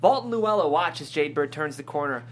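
A woman's voice: low voice, animated, a little fast, slight background noise. A woman reading scripted stage directions as the narrator at a table read.

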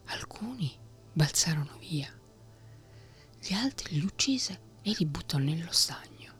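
A woman whispering a fairy tale in a soft ASMR reading. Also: low voice. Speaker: storyteller.

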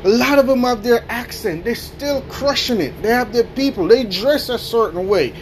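A man's voice: extremely high pitch, animated, normal speed, slight background noise. A man hosting his own podcast, giving an emphatic motivational monologue, repeating key phrases and checking in with "right?". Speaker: podcast host.

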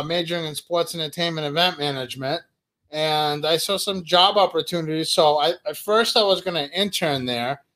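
Man, 30s, from Russia, slightly high voice, easygoing, laughs often, podcast guest. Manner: laughs easily, relaxed storytelling. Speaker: business owner guest. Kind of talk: casual interview chat.

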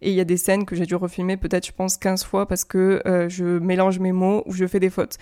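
A woman's voice: on the low side, monotone, brisk, mellow, clean tone, dry recording, studio quality. A French woman hosting her own podcast, talking casually and explaining things with lots of fillers and restarts. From France. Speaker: podcast host and YouTuber. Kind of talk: casual solo podcast talk.

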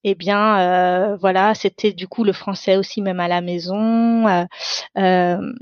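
A woman's voice: medium pitch, steady tone, unhurried, dry recording, dull audio. A woman whose first language is Tamil, reflecting on her own life in an unscripted interview, searching for her words as she goes. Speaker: interview guest.

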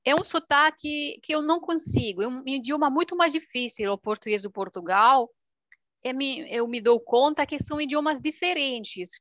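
A young woman from Romania, a native Romanian speaker with a Romanian accent, chatting casually in an expressive, animated way. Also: sits high, moderate pace, no echo, lo-fi sound. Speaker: language video creator. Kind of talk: casual chat.

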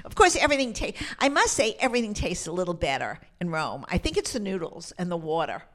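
A woman's voice: lowish voice, very animated, fast talker, a bit bright. An older woman, chatting casually as a podcast co-host in an opinionated, emphatic way. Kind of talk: casual chat.

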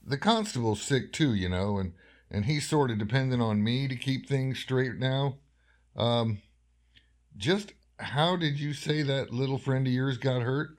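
A man playing a folksy, suspicious older country doctor in a radio horror drama, measured and skeptical. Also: medium pitch, close to an everyday voice. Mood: matter-of-fact.

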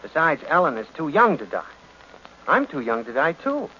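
Breezy wisecracking radio sidekick, muffled recording. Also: male voice, a little high, huge pitch swings, normal speed, bright, forceful tone, heavily acted. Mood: flippant. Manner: breezy, wry, slangy. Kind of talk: old-time radio mystery acting. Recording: dull, noisy vintage radio recording.

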